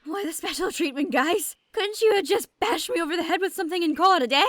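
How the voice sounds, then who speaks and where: A woman's voice: very high-pitched, fast talker, a bit bright, no echo, studio quality. A woman voicing a cheeky, verbose, manic male rogue, theatrical and flippant, in a comic fan dub.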